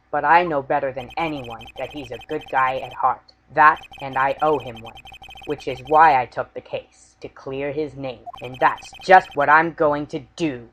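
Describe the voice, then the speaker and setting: A man's voice: medium pitch, sharp tone, a little hiss or hum, close to an everyday voice, rough recording. A young man playing a nervous, earnest rookie defense attorney in a video game fan dub. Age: young adult. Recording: voice under background music.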